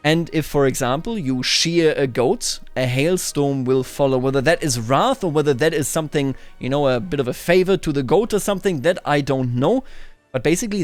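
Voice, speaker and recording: A man hosting a game playthrough, telling a roleplay story in a musing, discursive, explanatory way. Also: on the high side. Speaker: host of a video game playthrough series.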